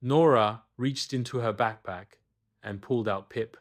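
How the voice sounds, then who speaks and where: A man's voice: medium pitch, unhurried. A man reading a scripted children's bedtime story as the storyteller.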